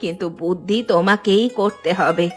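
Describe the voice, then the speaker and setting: A woman voicing a wise, grateful old village grandmother in a cartoon, motherly and measured as she gives advice. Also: fairly low pitch, steady tone, soft background music, natural voice. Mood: gently advising. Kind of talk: cartoon dialogue.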